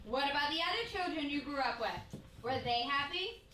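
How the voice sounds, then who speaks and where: An actress playing a polite, earnest secretary, proper and deferential yet gently persistent, in a stage radio play. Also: female voice, high voice, animated, moderate pace. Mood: earnest, gentle. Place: playhouse stage. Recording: echoey hall, distant mic, faint noise.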